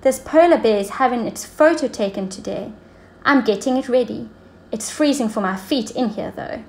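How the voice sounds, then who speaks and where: A woman's voice: a little high, normal speed, a little mellow, lightly acted. A woman voicing a busy, matter-of-fact man in a children's storybook.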